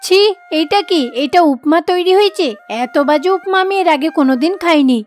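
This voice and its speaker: A woman's voice: extremely high pitch, fairly quick. A woman voicing a harsh, bossy older mother-in-law in a cartoon fairy tale, scolding and giving orders. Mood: scornful, bossy. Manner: scolding, commanding. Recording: background music under the voice.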